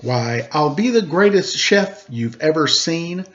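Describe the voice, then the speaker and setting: A man voicing an excitable, boastful schoolboy in a storybook, with a grandiose, theatrical manner. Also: fairly high pitch, takes it slowly. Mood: grandly boastful. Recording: dull lo-fi sound.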